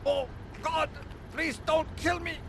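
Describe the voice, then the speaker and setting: A scared enemy soldier in a video game, pleading and eager to submit. The voice is very high and creaky, over clear background noise. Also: male voice, fairly even intonation, slow, heavily acted. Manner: pleading, hesitant. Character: frightened male enemy soldier, nervous and submissive.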